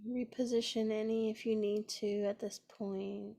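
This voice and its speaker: A woman leading a guided meditation in a gentle, soothing manner, pausing often between phrases. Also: medium pitch, level tone, vocal fry. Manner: gentle, unhurried, with long pauses between phrases.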